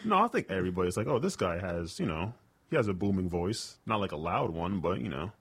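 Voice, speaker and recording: A man's voice: deep voice, big pitch swings, brisk, slightly rough. A young man, a stand-up comic and radio host, chatting casually in an interview and rambling with lots of fillers like "like" and "you know".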